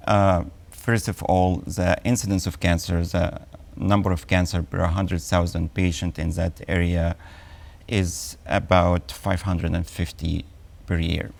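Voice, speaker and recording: A man in his forties with an Egyptian accent, an oncologist speaking as a guest in a TV health interview, measured and full of ums and uhs. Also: low voice, little pitch movement, gravelly.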